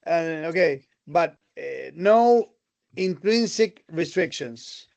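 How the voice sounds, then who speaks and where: A man with a distinctly high voice talks informally as a panel participant on a video call. His phrasing is hesitant and broken. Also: expressive, takes it slowly, gravelly, a little mellow, highs cut off.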